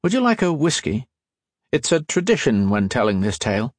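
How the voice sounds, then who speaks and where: A man's voice: mid-range voice, sing-song, normal speed, dry recording, pitched above the performer's own voice. A man voicing a scheming male assassin who poses as a genial, folksy American in an audiobook story. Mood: coaxing. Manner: falsely hearty, folksy.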